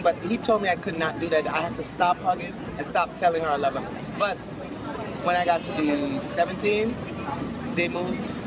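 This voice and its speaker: A man from Guyana answering a street interview, speaking earnestly and personally with emphatic repetition, outdoors on a city street. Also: a little high. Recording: noisy outdoor street with traffic.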